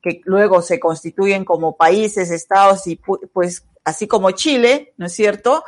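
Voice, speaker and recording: A woman, interviewing in a measured, courteous way. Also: medium pitch.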